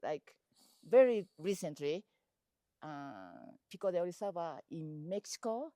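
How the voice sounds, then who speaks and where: A woman climber, answering questions slowly and haltingly, with plenty of fillers. Her voice is slightly low and very dry, with no room sound around it. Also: sing-song, warm tone, no echo. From Japan. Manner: halting, searching for words, many fillers. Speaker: trekker and mountain climber. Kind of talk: casual interview answers. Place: outdoors on a mountain trek.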